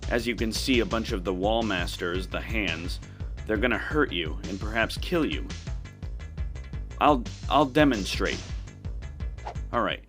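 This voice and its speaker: A man's voice: lowish voice, animated, unhurried, long pauses, fairly bright tone, faint music behind. A man giving casual, step-by-step walkthrough commentary as the host of a gameplay video. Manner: instructional, step-by-step.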